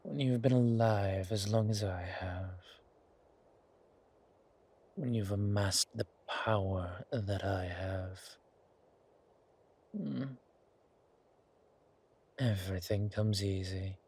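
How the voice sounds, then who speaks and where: A man playing a suave, centuries-old vampire in a horror ASMR roleplay, languid and genteel with quiet menace and a husky, breathy voice. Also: on the low side, takes it slowly, pauses often, heavily acted. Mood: quietly menacing.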